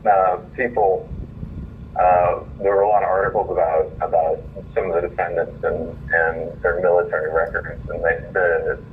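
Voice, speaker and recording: A man calling in to give his earnest, indignant opinion. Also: lowish voice. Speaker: caller reading own essay. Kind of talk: reading a written opinion piece aloud, then informal remarks. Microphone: phone line. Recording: muffled phone call, distant, faint noise.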